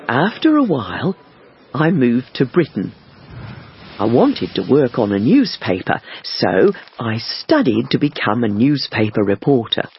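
Slow, expressive male audiobook reader. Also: medium pitch. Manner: slow, careful, clearly paced reading. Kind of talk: scripted first-person narration for learners. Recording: dull lo-fi audiobook recording.

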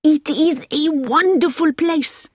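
Woman voicing an enthusiastic male driver, raised pitch. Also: animated, measured pace, darkish tone, no echo, studio quality. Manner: enthusiastic, emphatic. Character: eager, proud French-speaking male driver, polite to madame.

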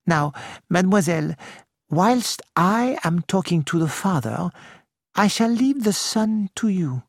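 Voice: medium pitch, expressive, measured pace, dry recording, studio quality, pitched above the performer's own voice. A narrator voicing a fussy elderly Belgian male detective who speaks formally and precisely. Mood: courteous. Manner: formal, polite, precise phrasing.

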